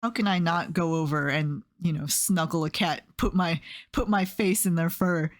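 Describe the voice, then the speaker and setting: A young woman streaming and chatting casually, telling rambling stories with lots of fillers and soft laughs. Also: fairly low pitch, normal speed. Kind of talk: casual chat while drawing on stream.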